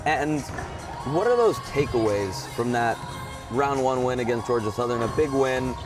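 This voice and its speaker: Male sports reporter over loud arena music. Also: mid-range voice, expressive, normal speed. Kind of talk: pre-game on-site interview. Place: inside a basketball arena. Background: loud music, bouncing balls.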